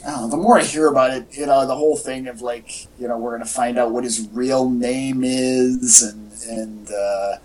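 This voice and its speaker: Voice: medium pitch, fairly even intonation, moderate pace, hardly any pauses, a little mellow. Rambling podcast co-host, distant from the mic. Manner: rambling, repeats and restarts phrases. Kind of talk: casual movie chat, opinionated commentary. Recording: echoey, distant-sounding home podcast audio.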